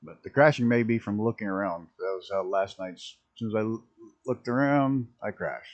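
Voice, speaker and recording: A man with a rough early-morning voice, chatting casually and rambling as he streams a flight simulator. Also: lowish voice, normal speed, dull audio. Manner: rambling, self-interrupting, chuckling at mishaps. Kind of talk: casual live-stream commentary.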